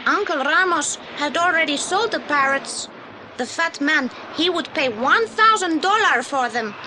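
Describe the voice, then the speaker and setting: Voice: high voice, expressive, unhurried, noisy background. An actor playing an earnest, polite young boy with a Spanish accent and broken English in an audio play. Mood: earnest, eager. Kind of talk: 1980s audio play acting.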